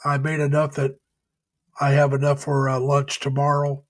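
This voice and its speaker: A middle-aged man hosting a casual live stream, chatting with viewers in a folksy, rambling way, laughing often and pausing on many uhs. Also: mid-range voice, completely flat delivery. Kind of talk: casual chat reading viewer comments. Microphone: home webcam or computer mic.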